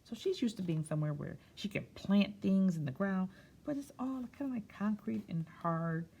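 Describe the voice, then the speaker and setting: A woman reading a picture book aloud for children, stretching key words expressively and pausing for soft explanatory asides. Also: mid-range voice, lively intonation, gravelly, husky. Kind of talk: read-aloud story time with explanatory asides.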